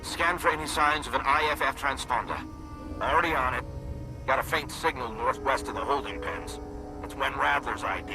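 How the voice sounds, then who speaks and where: An actor playing a businesslike male operations officer giving brisk, clipped orders. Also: mid-range voice, gravelly, close to an everyday voice, rough recording. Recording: game cutscene with music behind.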